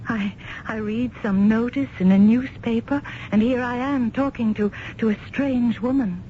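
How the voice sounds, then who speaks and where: A woman's voice: a little high, close to an everyday voice. A mysterious woman from another world played by an actress in an old radio play, on a muffled recording with faint noise. She is solemn and formal, choosing each word with care. Her insistence is coaxing and hypnotic, drawing the listener toward her. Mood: urgent, coaxing. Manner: deliberate, formal, hypnotically persuasive. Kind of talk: old-time radio drama acting.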